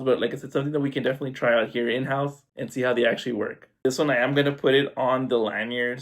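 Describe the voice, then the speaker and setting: A man talking informally through a casual unboxing review, demonstrating step by step. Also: medium pitch. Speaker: product reviewer. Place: indoors at home.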